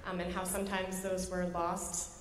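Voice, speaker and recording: A woman's voice: lowish voice, level tone, normal speed. A young woman, a dancer, talking casually on a panel. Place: large concert hall stage. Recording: echoey concert hall, clear noise.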